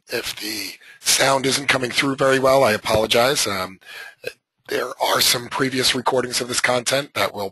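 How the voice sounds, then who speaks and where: Hoarse man with a scratchy voice. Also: medium pitch, pushed and bright, dry recording. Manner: conversational, hesitant, many filler sounds. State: hoarse from laryngitis. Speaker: software trainer presenting a webinar. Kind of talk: live training webinar.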